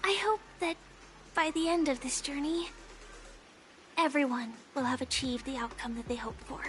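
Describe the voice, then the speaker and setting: An actress playing a gentle, earnest young woman in a game. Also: high-pitched, fairly even intonation, normal speed, slight background noise. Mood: hopeful, sincere. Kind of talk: game character voice acting.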